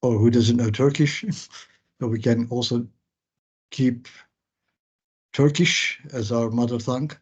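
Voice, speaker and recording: Slow, deliberate seminar moderator. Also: male voice, medium pitch, fairly even intonation, slightly rough. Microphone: video call. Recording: muffled video call audio.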